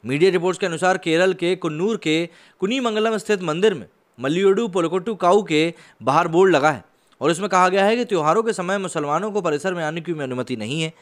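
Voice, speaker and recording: Higher-pitched man presenting news. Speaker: YouTube news host and commentator. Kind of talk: news commentary, reading reports to camera.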